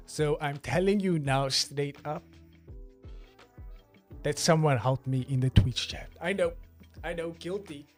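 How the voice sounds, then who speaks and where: A man's voice: on the high side, unhurried, pauses often, faint music behind. A South African man in his twenties, chatting casually on a livestream in a joking, rambling way and talking straight to his chat. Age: 20s. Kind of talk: casual live stream chat.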